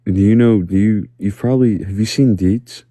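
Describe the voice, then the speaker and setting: Very deep, dark-voiced joking guy. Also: moderate pace, dry recording, studio quality. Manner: joking, rambling, teasing. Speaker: interviewee. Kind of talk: casual chat.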